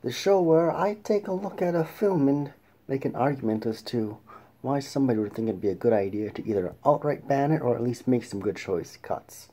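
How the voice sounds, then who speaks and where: A man hosting a casual film review show, talking in a halting way with restarts and throat clearing. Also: mid-range voice, animated, dark tone. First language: English.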